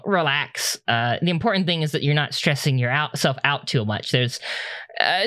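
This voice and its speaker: A man's voice: medium pitch, fairly bright tone, no room sound, close to an everyday voice. A man voicing a nervous, apologetic male research doctor with a nasal voice, stammering and fumbling for words.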